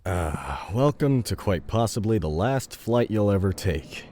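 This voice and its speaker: A man's voice: on the low side, expressive, unhurried, warm tone, slight background noise. A man playing a huge, level-headed teammate with a dry, blunt way of talking. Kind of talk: audio fiction acting. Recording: music bed behind the voice.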